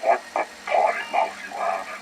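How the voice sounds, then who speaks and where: Man acting a cold, sadistic interrogator over loud rumble. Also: lightly acted. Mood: mock-polite. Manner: measured, mock-polite, menacing. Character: cold, sadistic male interrogator running cruel experiments. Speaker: villain character in a video game. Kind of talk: scripted cutscene dialogue.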